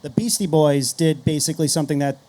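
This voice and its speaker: Higher-voiced, mellow man on a panel. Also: fast talker. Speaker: panelist, podcast host. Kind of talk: conference panel discussion, casual.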